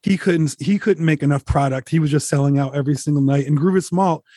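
Laid-back rambling man, fairly high voice. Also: quick pace, dark tone, no echo. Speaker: touring musician guest. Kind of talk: casual interview, candid storytelling.

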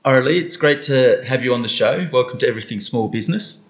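A man hosting a podcast, asking questions in a friendly, relaxed way. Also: mid-range voice, quick pace. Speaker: podcast host. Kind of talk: podcast interview. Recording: faint guitar music behind.

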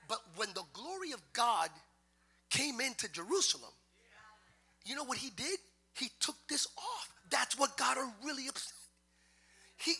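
A man preaching in a church, his voice sitting very high for a man. The tone is strikingly bright and cutting. He shifts from soft explaining to loud, half-sung preaching. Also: creaky, breathy edge. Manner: builds from quiet talk to loud sung preaching. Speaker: pastor. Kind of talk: sermon. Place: church sanctuary.

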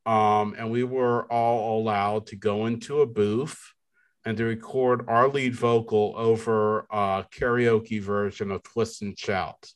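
A man co-hosting a podcast, talking in a deep baritone. He speaks very slowly, drifting through his thoughts with frequent ums and restarts. His voice sits low. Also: flat delivery, clear, resonant tone. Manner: slow, rambling, many fillers and restarts. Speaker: podcast co-host. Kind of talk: casual chat.

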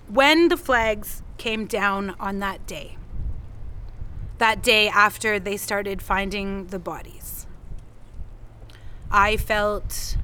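Slow, bright-voiced, defiant woman. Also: medium pitch. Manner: deliberate, pointed, with weighted pauses. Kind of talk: naturalistic audio drama dialogue.

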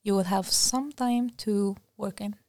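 A woman's voice: medium pitch, fairly even intonation, a little slow, dark tone, dry recording, studio quality. A woman from Egypt, an engineering student talking as a guest in a chatty way full of "like" fillers. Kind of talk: casual interview chat.

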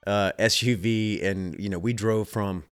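A man's voice: lowish voice, steady tone, a little slow, smooth. A man in his thirties with a stuffy, nasal voice, telling a rambling story as a show host and often stopping to restart his sentences. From US Southeast. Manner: rambling, self-interrupting, chuckling. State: stuffy nose. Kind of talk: casual storytelling. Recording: music playing behind.

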